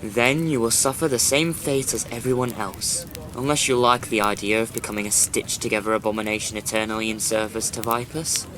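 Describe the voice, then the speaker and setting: A man's voice: medium pitch, steady tone, a little fast, hardly any pauses, a bit bright, a little hiss or hum, close to an everyday voice, poor audio. A man playing a stern, judgmental servant of a god in a fantasy audio drama, formal and admonishing. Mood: threatening.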